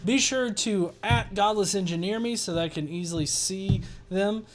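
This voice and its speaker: A man's voice: sits high, normal speed. A man hosting a casual commentary stream, talking in a sarcastic, profane, rambling way. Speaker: host.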